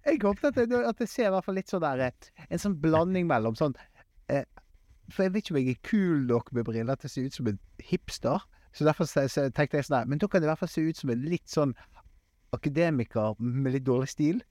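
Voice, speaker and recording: Male podcast co-host, casual and funny, stumbling phrasing, highly animated melody, dry recording. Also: dry recording. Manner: halting, self-correcting, stammering repeats. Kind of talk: casual humorous chat.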